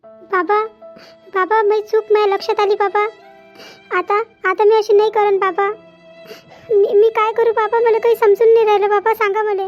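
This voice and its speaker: A woman voicing a pleading, remorseful young married daughter in a cartoon family drama, halting and repetitive, with a Vidarbha Marathi accent. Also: extremely high pitch, little pitch movement, highs cut off, soft background music. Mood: distressed, pleading.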